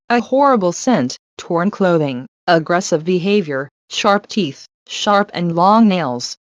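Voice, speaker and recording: Woman acting as TV news reporter, formal scripted delivery, medium pitch, dull lo-fi sound. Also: no echo, lightly acted. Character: formal female TV news reporter in zombie outbreak. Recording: dull, lo-fi dry audio.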